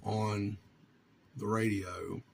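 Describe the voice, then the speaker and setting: A man from North Carolina, talking informally to his viewers in a halting, earnest way with long pauses. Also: on the low side, level tone, vocal fry, a little mellow, slight background noise. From North Carolina, United States. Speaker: vlogger and amateur radio hobbyist.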